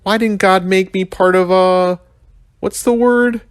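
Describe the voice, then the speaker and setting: A man voicing a grumpy, self-pitying big rhino in a storybook, muttering and halting as he searches for words. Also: high voice, fairly even intonation, clear, resonant tone, dry recording, clean studio sound, pitched above the performer's own voice. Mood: whiny, searching.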